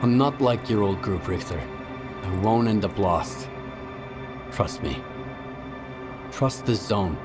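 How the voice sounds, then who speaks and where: An actor playing a steadfast, quietly sure male wanderer in a video game, terse and assured. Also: lowish voice, slow pace, long pauses, music in the background. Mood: resolute. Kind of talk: video game cutscene acting.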